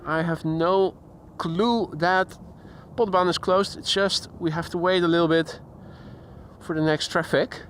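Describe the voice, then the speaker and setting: Man, Dutch accent, high voice, chatty live commentary, outdoors, faint background hum. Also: steady tone, a little slow, fairly bright tone. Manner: chatty, reads chat aloud, imitates sounds. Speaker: plane spotting livestream host. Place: outdoors beside an airport. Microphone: handheld shotgun mic.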